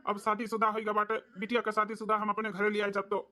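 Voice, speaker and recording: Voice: medium pitch, monotone, fast, pushed and bright, lightly acted. A performer voicing a stern village father in an Awadhi cartoon comedy, lecturing reproachfully with an Awadhi accent. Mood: pleading. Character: stern village father, apologetic to in-laws, scolding his daughter.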